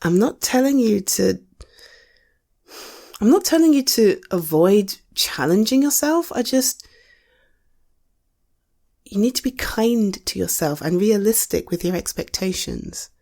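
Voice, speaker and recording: A British woman playing a caring, supportive partner in an intimate conversational audio roleplay, speaking softly with a gentle, hesitant, coaxing manner. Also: medium pitch, very animated, measured pace, vocal fry, pauses often, heavily acted. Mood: gently cautioning.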